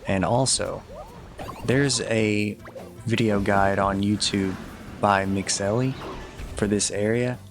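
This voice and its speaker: A man with a lowish voice and plenty of vocal fry talks through a video game guide. His delivery is casual, matter-of-fact and fairly flat in pitch, offering practical advice as he goes. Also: takes it slowly, long pauses, soft background music. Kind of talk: casual walkthrough commentary with tips.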